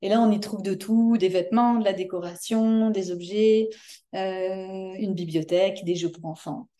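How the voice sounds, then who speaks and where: A woman from France with a French accent, describing her community project in an interview, pausing often to find her words. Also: mid-range voice, fairly even intonation, dark tone. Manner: thoughtful, frequent hesitations. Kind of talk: conversational interview.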